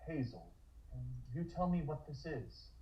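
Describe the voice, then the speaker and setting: A man's voice: medium pitch, big pitch swings, measured pace. A man playing a cool-headed, probing police detective in a script read-through, heard on dull, roomy audio. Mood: careful, probing.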